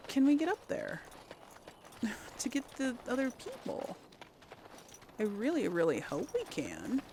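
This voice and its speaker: A woman gives casual video game commentary at an unhurried pace, thinking aloud in a folksy way. Her creaky voice has a wide, varied melody. Faint noise runs behind it. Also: fairly high pitch, huge pitch swings, warm tone. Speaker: let's play host.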